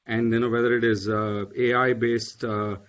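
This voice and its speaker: A man's voice: mid-range voice, flat delivery, normal speed, a bit bright. A man speaking on a panel, hesitant, with many fillers and restarts. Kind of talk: panel discussion. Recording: dull lo-fi audio.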